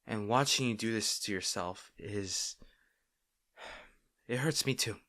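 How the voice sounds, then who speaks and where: A man playing a caring, gently firm boyfriend in an intimate roleplay audio, speaking softly, slowly and earnestly. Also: lowish voice, no echo, clean studio sound. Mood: tender concern. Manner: soft, slow, tender, earnest with sighs.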